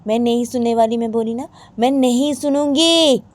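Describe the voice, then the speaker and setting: A woman's voice: a little high, normal speed, pitched above the performer's own voice, lightly acted. A woman voicing a stubborn, sulky young wife who keeps repeating her refusals. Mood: defiant.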